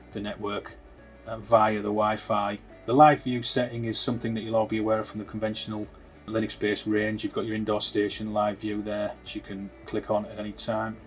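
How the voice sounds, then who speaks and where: Male tech tutorial presenter, informal and instructional, lowish pitch, flat delivery. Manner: informal step-by-step explaining. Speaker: technical presenter. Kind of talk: product demonstration tutorial.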